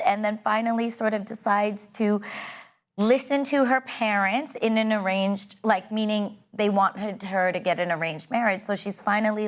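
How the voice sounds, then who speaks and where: Casting: woman, 30s, from Canada, friendly interview guest, chatty with fillers, medium pitch. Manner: upbeat, laughs often, many fillers. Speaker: actress guest. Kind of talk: casual interview chat.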